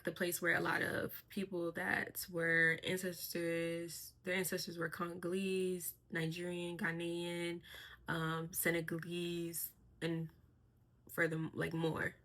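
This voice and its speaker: A young woman talking casually to her camera in a personal vlog, soft-spoken and reflective, with a voice she calls monotone. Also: fairly low pitch. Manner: soft-spoken, reflective, with frequent fillers and light laughs. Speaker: vlogger.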